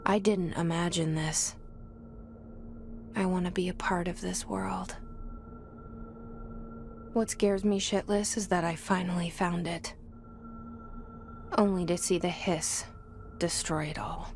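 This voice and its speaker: A guarded young woman murmurs her own private thoughts in a game voice-over, low and gravelly with fry at the ends of phrases. She half-whispers, turned inward and searching. A clear bed of ambience hums under every word. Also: fairly even intonation, slow, pauses often, noisy background. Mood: brooding. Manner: hushed, half-whispered, introspective. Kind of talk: inner monologue voice-over.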